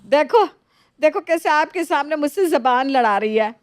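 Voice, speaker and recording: A very high-voiced, older mother-in-law played by a woman from a cartoon moral tale, recorded dry and close. She nags and gushes in an exaggerated, theatrical manner. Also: expressive, normal speed, dry recording. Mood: complaining. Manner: exaggerated, complaining, effusive. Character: nagging older mother-in-law, gushing over niece, scolding her own. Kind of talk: animated moral story dialogue.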